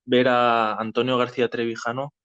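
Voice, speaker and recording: A man's voice: mid-range voice, level tone, normal speed, fairly bright tone, no echo. Spanish guy from spain hosting a political chat, explains stuff casually, bit lo-fi and dry. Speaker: host of a political discussion. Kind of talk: informal explanatory political talk.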